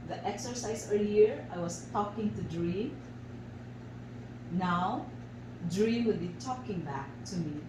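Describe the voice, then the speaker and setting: A woman with a Filipino accent leads a guided expressive arts lesson as facilitator, speaking deliberately with measured pauses. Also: fairly low pitch. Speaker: workshop facilitator and teacher. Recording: echoey, distant microphone, loud background noise.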